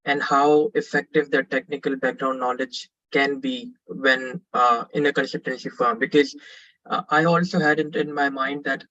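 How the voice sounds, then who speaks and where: A man's voice: mid-range voice, bright, forceful tone, dull audio. A man in his twenties from Pakistan, asking an earnest, polite question on a webinar call with frequent fillers.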